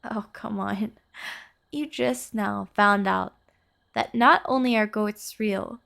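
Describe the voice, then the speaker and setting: A woman acting an affectionate, playful young girlfriend in an intimate audio roleplay, speaking softly and chattily. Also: on the high side, vocal fry, exaggerated voice. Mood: teasing. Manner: soft, tender, chatty with teasing asides.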